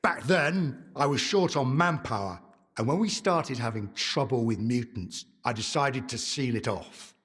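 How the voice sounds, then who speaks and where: An actor playing a businesslike leader of armed men in a video game, with a husky, authoritative, matter-of-fact delivery. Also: male voice, mid-range voice, expressive, measured pace, a bit bright. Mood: explaining. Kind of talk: video game dramatic acting.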